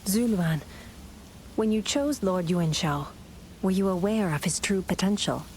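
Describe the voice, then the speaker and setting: A woman's voice: mid-range voice, lively intonation, a little slow, darkish tone, slight background noise, lightly acted, poor audio. A woman playing a thoughtful, idealistic adviser and companion to a hero in a video game, speaking in a reflective, composed way. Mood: solemn, hopeful. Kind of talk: dramatic game narration and dialogue.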